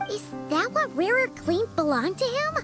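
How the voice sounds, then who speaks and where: Cast a chirpy little fairy companion girl: very high, bouncy lilt, game music under her. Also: very animated. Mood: worried, eager. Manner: chatty, casual, quick to worry. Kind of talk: video game cutscene dialogue.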